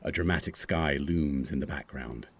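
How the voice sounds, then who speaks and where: A man's voice: rumbling low, moderate pace, slightly rough. A man reading screen directions aloud as scripted narration for a screenplay.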